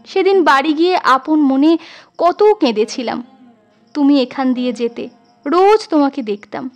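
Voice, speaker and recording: A woman's voice: sits high, expressive, unhurried, soft background music. A woman voicing a doting, sentimental village sister-in-law in a story reading, affectionate and emotional. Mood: tearful, tender.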